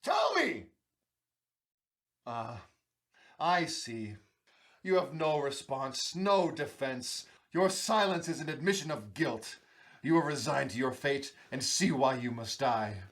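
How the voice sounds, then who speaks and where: An actor playing an imperious, distrustful and tormented sultan in a dramatic, declamatory audio drama style. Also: male voice, mid-range voice, sing-song, takes it slowly, a bit bright, full character voice. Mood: bitter, accusing. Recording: music bed behind the voice.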